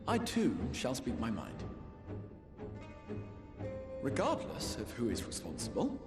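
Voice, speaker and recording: Game role: hooded sage-leader, polite yet unyielding, expressive delivery, reverberant hall with music. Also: male voice, medium pitch. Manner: measured, formal, theatrically polite. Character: calm, courteous, steely hooded mystic ruler. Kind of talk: dramatic video game cutscene acting.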